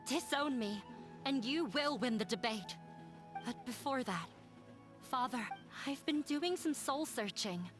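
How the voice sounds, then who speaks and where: Casting: resolute young heroine in anime game, high register, earnest, quietly defiant. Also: female voice, lively intonation, soft background music. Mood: sincere confession. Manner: sincere, deliberate, emotionally firm. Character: earnest, resolute young daughter defying her father.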